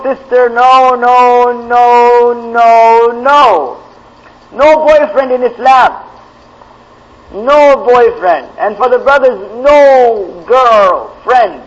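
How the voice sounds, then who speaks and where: High-voiced man, emphatic preacher. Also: a bit bright, dull audio. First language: Arabic. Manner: emphatic, repeats key phrases for stress; reads questions aloud then answers firmly. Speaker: Islamic preacher answering viewer questions. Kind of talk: live question-and-answer session.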